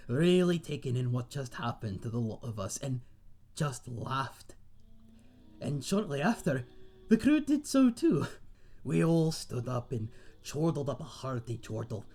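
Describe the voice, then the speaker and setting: A man playing a friendly tavern owner and former sailor, husky and chatty, telling tales in a folksy seafaring dialect in a fantasy audio roleplay. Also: medium pitch, lively intonation, measured pace, warm tone, soft background music. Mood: reflective storytelling. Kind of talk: ASMR roleplay monologue in a fantasy setting.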